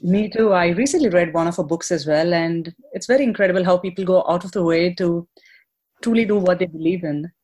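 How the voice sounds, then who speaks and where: A woman's voice: fairly low pitch, fairly quick, a little mellow. Upbeat woman podcast host. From Chennai, India. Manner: upbeat, encouraging interviewer. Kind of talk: podcast interview.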